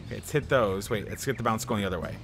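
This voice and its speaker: A man giving casual, excitable let's-play commentary while he drives in a game, thinking aloud. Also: lowish voice, rapid-fire, creaky, background noise. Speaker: gaming YouTuber. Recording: game music behind.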